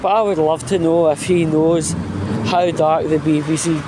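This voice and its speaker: A man delivering an informal, blunt, sweary rant in a halting, emphatic way, as a vlog host. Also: fairly high pitch, steady tone, noisy background.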